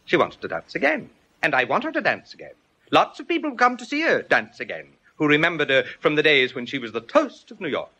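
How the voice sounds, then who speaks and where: An actor playing a pompous, effusive hospital chief with a florid, grandiloquent manner in an old-time radio drama. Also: male voice, fairly high pitch, wildly swooping pitch, fairly quick, bright, forceful tone, breathy edge, full character voice. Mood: gushing, persuasive. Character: pompous, effusive hospital chief, male, devoted to his wife.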